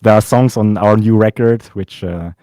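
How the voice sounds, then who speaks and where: Low, mellow-voiced man hosting a chat. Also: normal speed, dry recording, studio quality. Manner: relaxed, reads viewer questions. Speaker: host. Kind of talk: casual chat over a stream.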